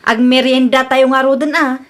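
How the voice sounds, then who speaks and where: A woman playing a brisk, self-assured young niece with an Ilocano accent in a Filipino radio comedy drama. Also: sits high, level tone, natural voice. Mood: cheerful.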